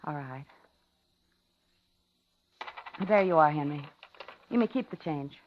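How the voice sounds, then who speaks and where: An American actress playing a polite, uneasy widowed office worker in a 1950s radio suspense drama, confiding and genteel. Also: female voice, deep voice, lively intonation, brisk, slight background noise. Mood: nervous, apologetic. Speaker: radio drama lead and narrator. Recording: muffled 1950s radio recording, organ music.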